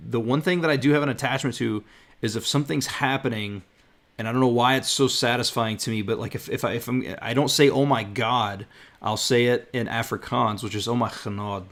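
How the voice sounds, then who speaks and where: A man hosting a podcast, chatting in a relaxed way and reading out listener questions. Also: medium pitch, brisk. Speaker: podcast host. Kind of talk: casual chat.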